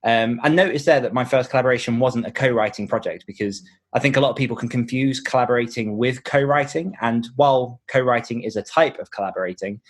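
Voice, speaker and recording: A man's voice: medium pitch, fast talker. A man chatting casually as a guest author in a podcast interview.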